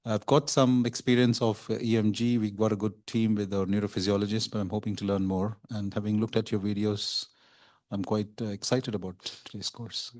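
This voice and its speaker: Man, English accent, lowish level voice, polite introduction. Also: a little fast. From England. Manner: polite, steady self-introduction. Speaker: workshop participant.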